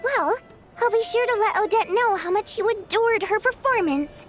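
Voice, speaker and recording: A woman playing a proud, easily offended theater singer in scripted video game dialogue, with an expressive, theatrical, pointed delivery. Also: extremely high pitch, moderate pace, faint music behind. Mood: pointed composure.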